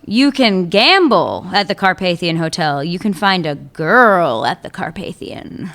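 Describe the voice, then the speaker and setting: A woman's voice: on the low side, expressive, a little slow, fairly bright tone. A woman playing a sly woman police chief who teases an old lover, in a radio play. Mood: probing. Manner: teasing, needling, wry. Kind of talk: amateur radio drama acting.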